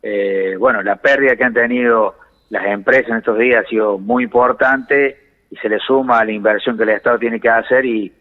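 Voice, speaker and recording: Argentine male interview guest, medium-pitched, measured, word-searching delivery, reverberant telephone recording. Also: fairly even intonation. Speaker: economics commentator, phone guest. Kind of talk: explanatory radio-style interview answers. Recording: reverberant phone call feed.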